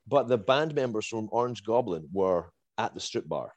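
A man with a Scottish accent, casually telling a lively story as a guest and laughing and swearing along the way. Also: on the low side, moderate pace, dry recording, highs cut off. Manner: animated, swears, laughs while telling, acts out quotes.

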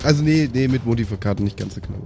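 A man's voice: mid-range voice, fast talker, creaky, darkish tone, soft background music. A man talking casually and colloquially as a player in an improvised tabletop role-playing game.

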